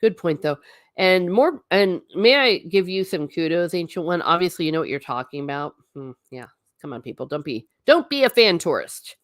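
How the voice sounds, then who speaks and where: A woman with a notably low voice hosts a relaxed livestream, talking straight to her viewers and answering their comments. She is chatty and blunt, with lots of asides. The sound is dry and close, with no room echo. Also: normal speed. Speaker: livestream host. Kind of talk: casual livestream chat, reading viewer comments.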